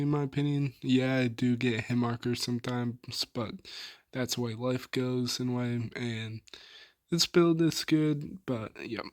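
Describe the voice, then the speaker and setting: A young man with a hoarse, tired voice, casually running through his favorite weapon builds with lots of fillers and trailing sentences. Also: mid-range voice, dry recording, studio quality. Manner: laid-back, filler-heavy, trailing off. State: hoarse, soft on mic. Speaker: gaming YouTuber.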